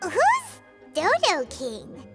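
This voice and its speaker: A woman voicing a tiny, excitable, bossy fairy-like girl companion in a video game, chatty and squeaky. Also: extremely slow, exaggerated voice. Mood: skeptical. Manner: squeaky, chatty, speaks of self in third person. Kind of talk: video game cutscene acting. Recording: loud jingly music behind the voice.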